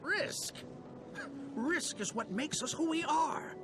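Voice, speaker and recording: A man's voice: extremely high pitch, expressive, takes it slowly, music in the background, exaggerated voice. A man playing a proud older alien general in a video game, persuasive and passionate. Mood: impassioned. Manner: impassioned, persuasive. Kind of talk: animated game cutscene acting.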